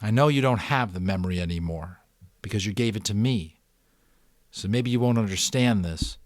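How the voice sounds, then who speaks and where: A man voicing an earnest, tentative young boy who speaks haltingly, searching for words, in a storybook reading. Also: on the low side, no echo, clean studio sound, close to an everyday voice. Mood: shy, awkward.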